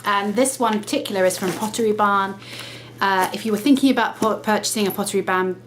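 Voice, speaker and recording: A woman's voice: medium pitch, background noise. Woman vlogging with a slight cold. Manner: chatty, self-correcting, brisk explaining. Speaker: lifestyle vlogger. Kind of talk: casual talk to camera.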